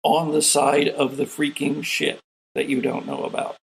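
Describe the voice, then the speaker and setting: Gravelly man narrating as game master. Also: on the high side, little pitch movement, normal speed, low-quality recording. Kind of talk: improvised tabletop game narration.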